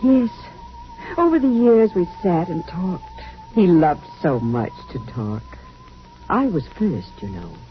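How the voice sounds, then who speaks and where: An actress playing a gentle, sorrowful android wife in a 1950s radio drama, speaking softly and wistfully in measured phrases. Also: female voice, on the low side, sing-song, faint music behind. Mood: wistful, tender. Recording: muffled, noisy old radio recording.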